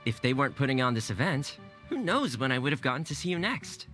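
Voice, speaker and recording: Caring, easygoing young guy for a game. Animated delivery, gentle humor, faint music underneath. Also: medium pitch, fast talker. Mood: friendly, caring. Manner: amiable, gently joking. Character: friendly, easygoing young man, well-connected and caring. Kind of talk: video game voice acting.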